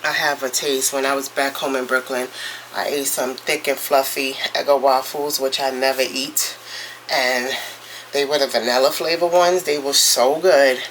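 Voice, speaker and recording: A woman's voice: a bass voice, fairly even intonation, fairly bright tone, slight background noise, poor audio. A woman with a stuffy, congested voice from a cold, chatting casually as a vlogger while she cooks at home. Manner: chatty, rambling, drawn-out storytelling. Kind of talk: casual morning vlog chat. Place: home kitchen, cooking.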